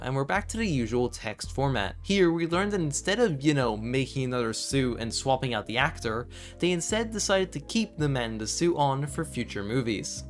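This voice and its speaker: A man narrates a scripted video essay at a middling pitch. He tells the story in a measured way and slips into casual, conversational asides. Music plays behind his voice. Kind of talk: scripted narration, horror series commentary. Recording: background music under voice. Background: background music.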